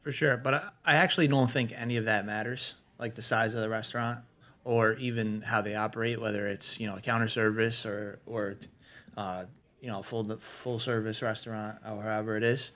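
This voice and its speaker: A man's voice: fairly low pitch, steady tone, brisk, bright, forceful tone. A man talking casually as a podcast panelist, laying out practical management advice point by point in a conversational, explanatory way.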